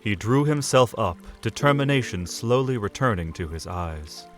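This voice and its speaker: A man with a lowish voice narrates an audio drama in a measured storytelling style. Faint music plays beneath his narration. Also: animated. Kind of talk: scripted narration.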